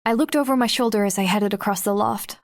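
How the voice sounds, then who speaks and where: A woman playing a guarded, observant teenage girl who narrates an audiobook in the first person, reflective and measured. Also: mid-range voice, close to an everyday voice. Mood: wary. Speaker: narrator and lead character.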